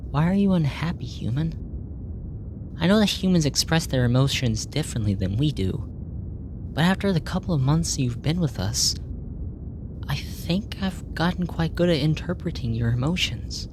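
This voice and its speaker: A woman acting in a sci-fi ASMR roleplay as a polite, clinical alien researcher, speaking in a measured, formal, earnest way. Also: low-pitched, noisy background. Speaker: audio roleplay voice actor.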